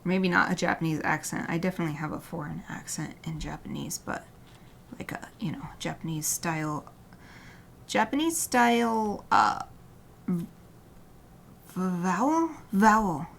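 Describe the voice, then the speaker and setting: A woman artist chatting casually during a livestream as she paints, rambling and often dropping to a soft murmur, with light laughter. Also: on the low side, animated, measured pace, gravelly, long pauses, slight background noise. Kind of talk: casual chat while painting.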